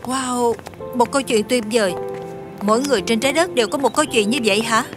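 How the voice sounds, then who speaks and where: A woman's voice: fairly high pitch, quick pace, over music, natural voice. A woman playing a naive, earnest young alien wish-granter in dubbed fantasy film dialogue, blunt and innocently literal. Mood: curious, wondering.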